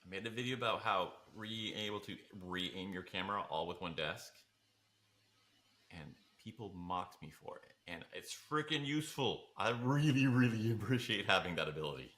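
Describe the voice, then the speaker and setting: A man's voice: on the low side, lively intonation, normal speed, fairly bright tone. A man talking casually as a podcast co-host, in an informal conversational style. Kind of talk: casual chat.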